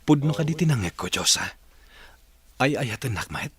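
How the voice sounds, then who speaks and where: An actor in a radio drama playing an earnest young man courting a woman, gentle and emotive. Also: mid-range voice, sing-song, moderate pace, lightly acted. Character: earnest young suitor, Dindo. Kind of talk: broadcast radio drama acting.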